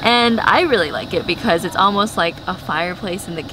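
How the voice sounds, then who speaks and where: A woman's voice: lowish voice, a little fast. A woman in her twenties chatting casually as a travel vlogger. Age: 20s. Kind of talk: casual vlog chat. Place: small camper van and open beach. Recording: clear background noise, on-location camera audio.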